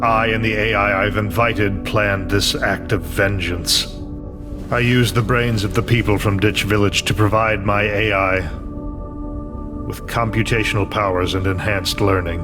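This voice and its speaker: A man's voice: lowish voice, fairly even intonation, a little slow, close to an everyday voice. A man playing a vengeful, coldly fanatical imprisoned scientist in a video game, speechifying in a grandiose, declamatory way. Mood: grave, regretful. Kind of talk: dramatic video game cutscene acting. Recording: dramatic music bed under voice. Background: loud music.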